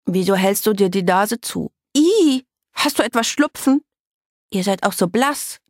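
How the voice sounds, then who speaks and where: A woman's voice: mid-range voice, lively intonation, measured pace, dry recording, studio quality, voice pushed up. A woman narrator voicing a prissy, girly young girl with a stuffy, nasal voice in a children's story. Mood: snooty. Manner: squealing, affected.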